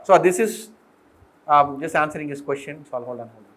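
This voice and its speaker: A middle-aged man with an Indian accent speaks in a fairly high voice. He lectures informally on technical material like a professor in a classroom, often putting questions to his listeners and then explaining. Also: fairly quick, fairly bright tone. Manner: explanatory, often posing questions and checking understanding. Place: indoor lecture room with green chalkboard. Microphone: fixed or worn mic, voice drifting as he turns.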